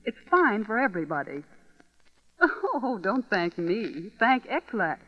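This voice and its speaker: Animated, chatty old-radio housewife. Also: female voice, medium pitch, takes it slowly. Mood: chatty, reassuring. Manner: bubbly, emphatic, reassuring. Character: chatty, cheerful housewife advising a friend by telephone. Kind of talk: 1940s radio commercial acting. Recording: dull vintage radio recording.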